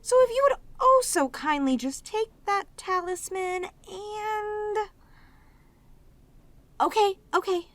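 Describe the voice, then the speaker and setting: A woman voicing a lonely, clingy, sweet female demon in an ASMR audio roleplay, with an earnest, halting, pleading manner. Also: very high-pitched, fairly bright tone, dry recording, exaggerated voice. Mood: pleading, indignant, then apologetic, grateful.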